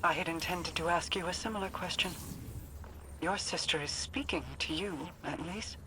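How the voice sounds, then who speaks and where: A woman playing a wise, reserved leader in a video game, speaking formally in measured phrases. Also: fairly low pitch, lightly acted. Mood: calm, reflective. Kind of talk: scripted video game dialogue. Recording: clear ambient noise behind.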